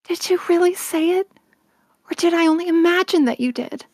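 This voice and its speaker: An eager, impassioned girl of eleven, acted out by a woman for a storybook reading. The voice is high and breathless, with a pleading manner and very little room around it. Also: normal speed, darkish tone, no echo, clean studio sound. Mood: breathless hope.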